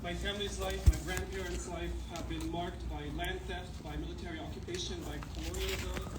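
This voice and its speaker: A man's voice: high-pitched, level tone, a little fast. A man from Palestine giving an impassioned speech at an outdoor rally. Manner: impassioned, rising to forceful. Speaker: rally speaker. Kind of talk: protest speech. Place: outdoor protest. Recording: echoey, distant, noisy outdoor recording.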